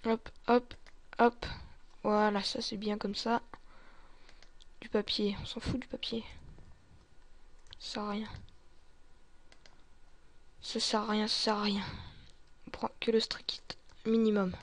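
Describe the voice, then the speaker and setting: Gamer playing zombie survivor, talks slow to self, whispery bits, dry close sound. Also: mid-range voice, flat delivery, no room sound. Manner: muttering to self, often dropping to a whisper. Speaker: gaming video creator playing a survivor. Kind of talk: in-character gameplay narration of a zombie survival roleplay.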